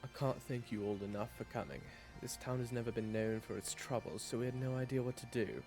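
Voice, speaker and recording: A man acts out a grave small-town official in a fantasy audio roleplay. His mid-range voice has a gravelly creak, and he is formal, terse and distrustful, with music clearly playing behind him. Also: medium pitch, brisk, lightly acted. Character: solemn, skeptical small-town guard official.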